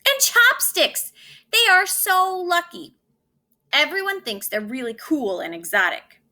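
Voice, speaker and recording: A woman's voice: extremely high pitch, sing-song, measured pace, sharp tone, pitched above the performer's own voice, heavily acted. A woman voicing a glum, envious young spoon boy in a children's storybook, complaining with whiny emphasis. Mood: envious whining. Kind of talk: children's storybook read-aloud.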